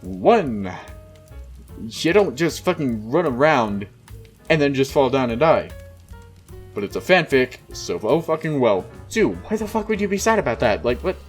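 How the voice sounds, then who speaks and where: A man's voice: medium pitch, very animated, a little slow, soft background music. A man doing a casual, mocking read-aloud with exasperated, sweary asides. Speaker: host reading a fan fiction aloud with commentary.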